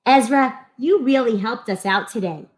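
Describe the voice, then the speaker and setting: A woman voicing friendly, eager schoolchildren from a comic book in an expressive, earnest read-aloud. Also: on the high side, normal speed, lightly acted. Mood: grateful, earnest. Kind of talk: animated storybook read-aloud.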